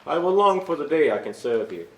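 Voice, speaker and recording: A man's voice: fairly high pitch, sing-song, fairly quick, a bit bright. A man playing a faithful, solemn soldier knight in a staged reading of a stage musical. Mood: solemn, tender. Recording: echoey stage, distant mic, faint noise.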